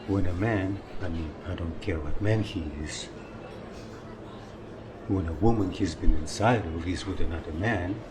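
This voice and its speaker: A man's voice: low-pitched, a little slow, noisy background. An actor playing a wounded, jealous older man in love in a film drama, earnest and pressing as he talks. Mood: probing, suspicious. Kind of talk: naturalistic film drama dialogue.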